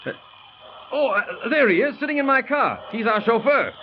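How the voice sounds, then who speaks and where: An actor playing a bold, hearty young husband who takes charge, with commanding and exclamatory delivery in a 1930s radio drama. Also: male voice, very high voice, very animated, normal speed, full character voice. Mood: cheerful. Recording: muffled, noisy 1930s radio recording.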